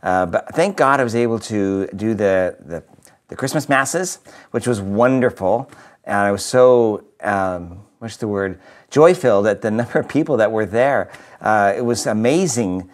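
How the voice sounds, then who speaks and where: A man's voice: on the low side, lively intonation, measured pace. A priest in his fifties, sounding a little nasal from a cold, talks through a weekly video reflection in a chatty, upbeat way with fillers and restarts, recorded close to a camera in his living room. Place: living room with a Christmas tree, indoors.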